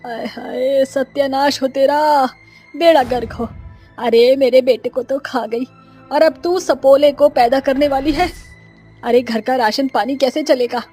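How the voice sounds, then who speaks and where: A woman's voice: high-pitched, steady tone, measured pace, warm tone, husky, soft background music. A woman voicing a harsh older mother-in-law in a cartoon, scolding and cursing.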